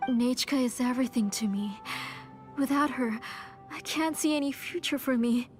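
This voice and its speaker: A woman voicing a grief-stricken, self-loathing mother with a halting, anguished delivery in a dramatic video game scene. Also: high voice, soft background music, close to an everyday voice. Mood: anguished, bitter.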